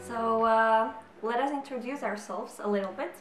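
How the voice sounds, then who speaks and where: A woman of twenty-four from Slovakia with a Slovak accent, chatting cheerfully to camera as she introduces her flat to a future flatmate. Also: on the high side. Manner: cheerful, giggly, quick to joke. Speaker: flatmate seeking a roommate. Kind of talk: casual chat to camera. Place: living room, indoors. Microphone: camera on a tripod. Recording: echoey room with music behind.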